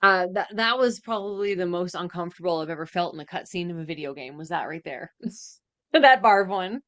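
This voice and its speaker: Casual woman podcaster, slightly low voice. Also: expressive, moderate pace, a bit bright. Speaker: podcast co-host. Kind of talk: casual reaction chat.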